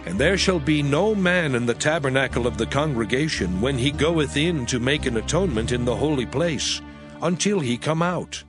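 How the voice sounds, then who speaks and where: Expressive male scripture narrator over music. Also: mid-range voice. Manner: measured, formal.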